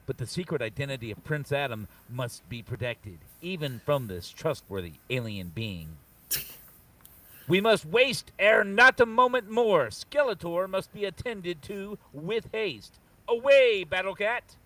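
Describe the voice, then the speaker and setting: A man's voice: high voice, sing-song, measured pace, fairly bright tone, no echo, recorded from a distance, heavily acted. A man playing an earnest, mighty warrior hero, declaiming in archaic phrases at a comic book table read. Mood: earnest resolve. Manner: declamatory, archaic heroic diction. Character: noble, earnest muscle-bound barbarian hero, strongest man around.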